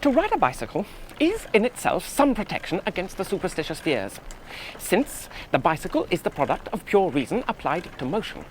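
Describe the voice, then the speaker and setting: A young British officer in an old radio drama, lightish in pitch and very lively in intonation. He muses earnestly and precisely, nervous but reasoning himself calm, over faint noise. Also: brisk. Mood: startled, self-reassuring. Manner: earnest, precise, musing, self-reassuring. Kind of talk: 1970s radio play monologue.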